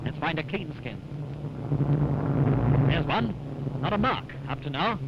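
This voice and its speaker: A man's voice: a little high, expressive, measured pace, lo-fi sound, very noisy. A man narrating a 1940s wartime newsreel in a dramatic, punchy, rousing way, on a vintage soundtrack. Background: music.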